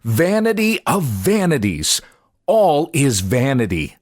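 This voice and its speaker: Male pastor, scripture narration, unhurried pace, lively rise and fall, solemn phrasing, close dry sound. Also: mid-range voice, no room sound. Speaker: pastor reading scripture aloud. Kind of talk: scripture reading, audiobook-like narration.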